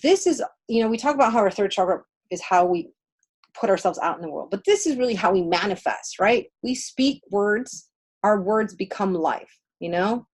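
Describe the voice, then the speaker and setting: A woman whose first language is Spanish, co-hosting a casual spiritual livestream and giving readings in an emphatic, conversational way with pauses for effect. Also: medium pitch, expressive, breathy edge. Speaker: co-host of a spiritual healing livestream.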